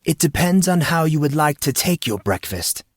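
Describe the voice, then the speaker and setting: A man voicing a courteous, patient male attendant with formal, measured phrasing and gentle teasing, in an audiobook. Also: on the high side, expressive, no echo, clean studio sound, voice pushed up.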